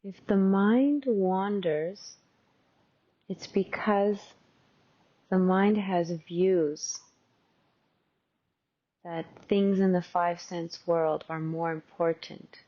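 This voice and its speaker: A woman leads a guided meditation at a very slow pace, in a fairly low voice. Her delivery is gentle and conversational, like a teacher softly instructing. The recording sounds slightly dull and lo-fi. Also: fairly even intonation.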